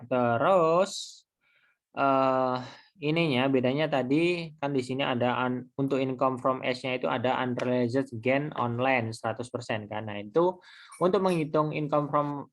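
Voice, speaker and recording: Male lecturer, mid-pitched voice, informal and halting tutorial delivery, walking through material step by step. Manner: informal, halting, step-by-step explaining with self-posed questions. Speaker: accounting lecturer. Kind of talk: explanatory screen tutorial lecture.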